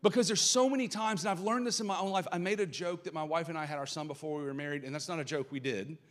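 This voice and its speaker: A man with a Southern American accent, a pastor giving a live church sermon, earnest and building to loud, passionate emphasis. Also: on the high side, quick pace. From Southern United States.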